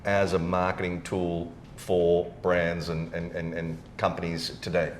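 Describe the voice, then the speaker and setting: Low-voiced male host in an echoey room. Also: monotone, unhurried. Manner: hesitant, winding questions with repeated words. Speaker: podcast host. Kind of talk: conversational interviewing. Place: indoor podcast recording. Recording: roomy echo, noticeable rumbling background noise.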